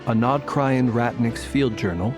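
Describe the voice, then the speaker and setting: A man's voice: medium pitch, normal speed, music in the background, close to an everyday voice. A man playing a serious, cautious companion in a fantasy video game, with a matter-of-fact delivery of scripted lines.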